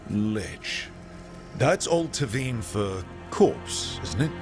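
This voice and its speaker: An actor playing a wry, easygoing fantasy adventurer in a video game, talking in a casual, plainspoken, questioning way. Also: male voice, lowish voice, lively intonation, drawn-out words, over music. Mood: wry, puzzled. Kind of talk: video game dialogue acting.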